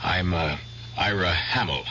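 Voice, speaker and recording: An actor playing a calm, polite, slightly hesitant young doctor in a radio drama. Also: male voice, sits low, unhurried, highs cut off, exaggerated voice. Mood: polite, careful. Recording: noisy old radio broadcast with hum.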